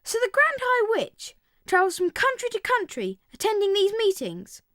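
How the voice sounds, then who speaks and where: A woman's voice: very high voice, huge pitch swings, normal speed, fairly bright tone, no echo, studio quality, heavily acted. A woman playing an anxious, inquisitive young boy, earnest and nervous, in a dramatized audiobook. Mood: worried, curious.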